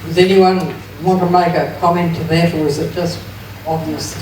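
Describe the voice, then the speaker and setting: A woman's voice: lowish voice, fairly even intonation, normal speed, a little mellow. New woman moderating, echoey and noisy. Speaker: forum moderator. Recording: echoey room from far off with noise.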